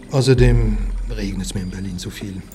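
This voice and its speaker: A man acting a breezy, self-satisfied confidant in a radio play. His lowish voice is casual and clipped, with music and water behind it. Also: normal speed, close to an everyday voice. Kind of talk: naturalistic radio drama dialogue.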